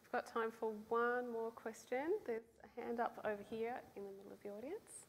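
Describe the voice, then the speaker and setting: A woman's voice: on the high side, steady tone, moderate pace, slight background noise. A woman moderating a stage panel, giving brief encouraging replies and taking questions from the audience.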